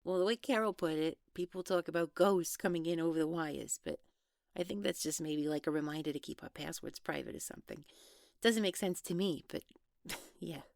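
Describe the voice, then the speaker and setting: A woman plays a young woman in an audio drama, casual but defensive and easily flustered. Her voice is on the low side with a good deal of fry, recorded close and dry. She talks haltingly, with an exasperated edge. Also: a little mellow, dry recording. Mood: amused, puzzled.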